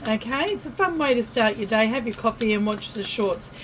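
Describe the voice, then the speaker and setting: An Australian woman giving a casual tarot reading to camera, chatty and friendly, often pausing with fillers and restarting. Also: on the high side, lively intonation, a little hiss or hum. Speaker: tarot reader.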